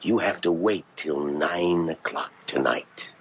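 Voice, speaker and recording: An actor playing a mysterious man with a measured, commanding, cryptic manner, a calm guide through time in a 1970s radio drama. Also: extremely low pitch, slight background noise, heavily acted. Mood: firm, knowing. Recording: muffled vintage radio broadcast, music behind.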